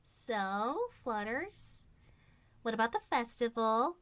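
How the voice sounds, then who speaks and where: Kindly female pony princess for animation, acted by a woman: high-pitched, expressive, encouraging. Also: takes it slowly. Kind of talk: animated fan series voice acting.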